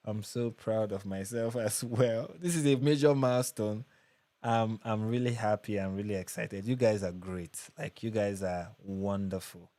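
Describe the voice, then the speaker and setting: A man's voice: fairly low pitch, moderate pace, dark tone, dry recording. Nigerian man chatting on a livestream. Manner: chatty, repeats phrases, frequent restarts. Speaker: livestream host. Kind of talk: casual livestream chat reading comments.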